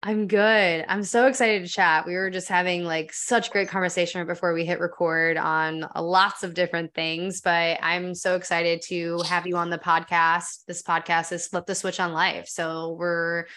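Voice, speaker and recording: A woman's voice: on the low side, level tone, moderate pace, hardly any pauses, fairly bright tone, clean tone. A woman hosting a podcast interview, talking with enthusiasm and a lot of filler words. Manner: enthusiastic, lots of filler "like" and "really". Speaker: podcast host.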